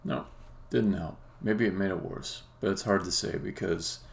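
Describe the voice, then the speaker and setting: Gravelly-voiced man thinking aloud while coding. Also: sits low, steady tone. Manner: halting, muttering to himself. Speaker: game developer live-streaming. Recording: faint background noise. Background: computer keyboard typing and clicking.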